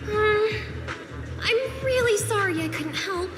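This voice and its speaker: Very high-pitched young female attendant. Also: animated, slow, heavily acted. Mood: apologetic, helpful. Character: apologetic, polite young female cinema attendant. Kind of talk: video game voice acting. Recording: roomy sound with clear music behind.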